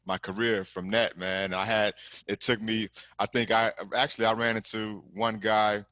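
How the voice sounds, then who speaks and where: A middle-aged man from Texas speaks as a guest over a video call. His voice is low but bright and forceful. He talks in a loose, rambling way, tossing in "man" and "you know what I'm saying." The sound is close and dry. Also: flat delivery, moderate pace, no room sound. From Galveston, Texas. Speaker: guest, commercial lender.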